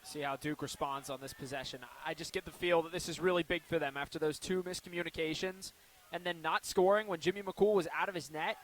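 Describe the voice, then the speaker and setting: A man's voice: fairly high pitch, fairly even intonation, brisk, a bit bright, a little hiss or hum, low-quality recording. A man giving conversational, opinionated analysis as a color commentator on a live sports broadcast.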